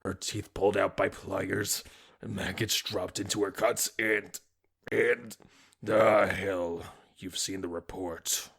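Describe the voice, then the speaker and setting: A man voicing a bitter, hot-tempered police detective in a comic dub, raspy and forceful, swinging between muttering and ranting. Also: sits low, fairly even intonation, takes it slowly, heavily acted. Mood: grim. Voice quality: gravelly, raspy.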